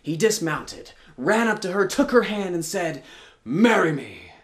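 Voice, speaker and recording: A man's voice: high voice, normal speed, a bit bright. A man telling a folktale as a live storyteller, in a dramatic, theatrical, tongue-in-cheek way.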